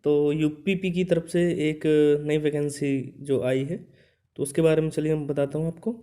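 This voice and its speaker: Guy doing an exam coaching explainer, slightly high voice but relaxed and mellow, reads stuff out then explains it casually. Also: moderate pace. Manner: conversational, checks in with repeated short tag words. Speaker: exam coaching instructor and channel host. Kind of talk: informal explanatory lesson, reading out a recruitment notice.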